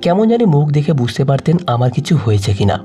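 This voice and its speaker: A man's voice: fairly high pitch, sing-song, brisk, warm tone, soft background music. A man narrating an emotional story in the first person as a wronged young wife, with a sorrowful manner.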